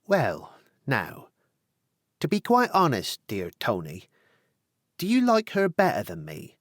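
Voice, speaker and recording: A man voicing a coy, excitable country girl. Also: mid-range voice, slow pace, no echo, clean studio sound, exaggerated voice. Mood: coaxing. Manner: rustic dialect speech.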